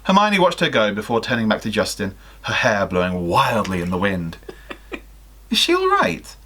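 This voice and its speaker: Young man, animated theatrical storyteller, bright voice with wide swings in pitch. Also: a little fast, a little hiss or hum. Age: young adult. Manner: theatrical, acts out the dialogue. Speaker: guest reader of listener fan fiction. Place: small attic bedroom, indoors.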